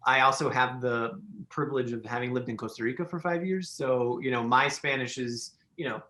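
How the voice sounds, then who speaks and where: A man's voice: mid-range voice, fairly even intonation, fairly quick, pushed and bright, echoey room. A man hosting a podcast interview, talking casually with enthusiasm and plenty of fillers and restarts. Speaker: podcast host.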